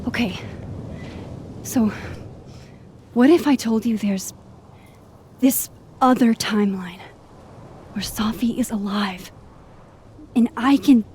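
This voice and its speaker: A woman playing an earnest, anxious young woman in a video game drama, with a halting, pleading way of talking. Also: fairly high pitch, a little mellow, a little hiss or hum. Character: earnest, anxious young woman with secret timeline-hopping power.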